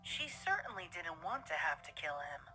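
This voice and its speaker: Casting: female story narrator, bright clear-cut tone, scripted delivery, light music underneath. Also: sits low, sing-song, normal speed.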